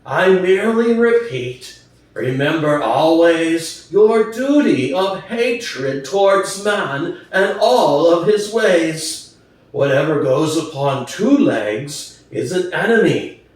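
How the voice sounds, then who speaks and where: A man voicing an aged, hoarse boar who gives solemn, fervent revolutionary speeches in a storybook reading, slowly and emphatically. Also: a little high, expressive. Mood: stern, fervent. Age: older. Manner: slow, emphatic oratory with weighty pauses. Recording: distant, very echoey room.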